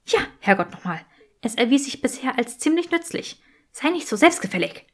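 A woman's voice: high voice, very animated, moderate pace, voice pushed up. A woman voicing a curious, bookish young witch who explains things precisely and is easily exasperated, in an audiobook story.

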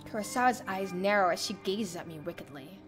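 A woman's voice: mid-range voice, expressive, a little fast. A young adult woman streamer reading a visual novel aloud in dramatic first-person narration. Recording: faint music behind.

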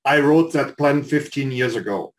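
A man in his fifties speaks over a webcam as a panelist, blunt and skeptical, explaining at length why a claim does not hold. His pitch is ordinary for a man, neither high nor low. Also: moderate pace. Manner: direct, skeptical, lecturing. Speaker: investor panelist. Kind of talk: critical feedback on a pitch. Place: home office on video call. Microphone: video call webcam.

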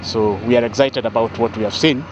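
A man in his fifties with a Kenyan accent gives a measured, formal, off-the-cuff media briefing as an official, often pausing to find words. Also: mid-range voice, fairly even intonation, lo-fi sound. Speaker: airport authority official giving a press statement. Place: outdoors at an open construction site. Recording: noisy outdoor site, engine and vehicle noise.

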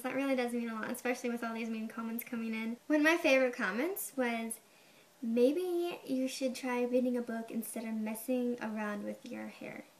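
Young woman with a high, girlish voice. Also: slight background noise. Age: young adult. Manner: chatty, defensive, says "like" a lot. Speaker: vlogger. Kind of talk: casual to-camera explanation. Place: bedroom, indoors. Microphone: webcam at desk.

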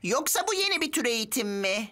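A woman's voice: fairly high pitch, big pitch swings, fast, music in the background. A woman voicing a cheeky, boastful young schoolboy in a children's cartoon dub, cocky and persuasive. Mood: curious, persuasive.

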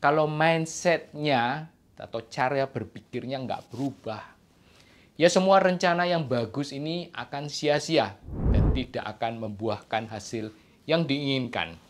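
A middle-aged man explains his views to camera in a measured way, stressing key words, on a lapel mic. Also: medium pitch. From Indonesia. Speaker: doctor, medical specialist presenting to camera. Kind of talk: explanatory opinion talk to camera. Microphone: clip-on lapel mic.

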